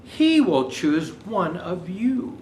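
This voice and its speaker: A man voicing a commanding train conductor in a children's storybook, loud and theatrical. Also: sits high, sing-song, slow, full character voice. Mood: announcing. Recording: roomy echo, faint background noise.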